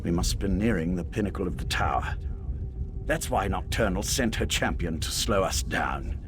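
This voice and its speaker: An actor playing a calm, self-sacrificing mage in a video game, measured, formal and wry with quiet regret. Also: male voice, sits low, noisy background. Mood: determined.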